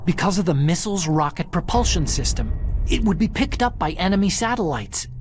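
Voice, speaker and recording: An actor playing an earnest, nerdy young computer hacker in a video game, eager and explanatory. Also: male voice, sits high, big pitch swings, brisk, slight background noise. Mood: eager, intent. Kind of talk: dramatic video game voice acting. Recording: prominent game music behind the voice.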